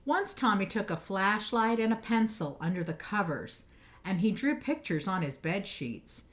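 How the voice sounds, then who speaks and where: A woman reading a children's picture book aloud, with expressive phrasing and emphasized words. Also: mid-range voice, normal speed. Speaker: storybook reader.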